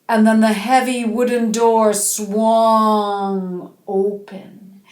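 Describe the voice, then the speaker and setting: Female children's storyteller, fairly high voice, unhurried, dramatic emphasis, roomy echo. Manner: dramatic, drawn-out emphasis, animated. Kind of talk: expressive fairy-tale storytelling for children. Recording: roomy, echoing space.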